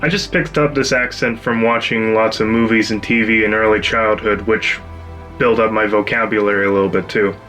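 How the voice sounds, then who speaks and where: A young man from the UK speaks with an American accent. He introduces himself plainly and matter-of-factly, with soft music playing behind him. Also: on the low side, fairly even intonation, a little fast, low-quality recording. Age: 20s.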